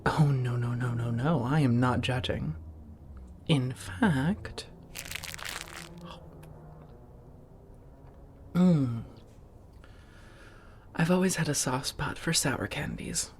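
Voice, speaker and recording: A soft-spoken woman acting an affectionate, refined female vampire roommate in an ASMR roleplay, often near a whisper, with formal phrasing and coy pet names. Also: extremely low pitch, slow, long pauses, slight background noise. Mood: playful, reassuring.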